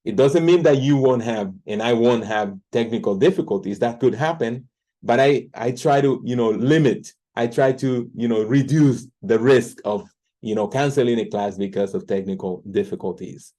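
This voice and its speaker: Earnest Dominican-accented man, mellow tone. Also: mid-range voice, normal speed. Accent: Dominican Spanish. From Dominican Republic. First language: Spanish. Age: 40s. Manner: earnest, with restarts and fillers. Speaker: language tutor. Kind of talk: self-introduction to camera. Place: home teaching office. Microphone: computer camera.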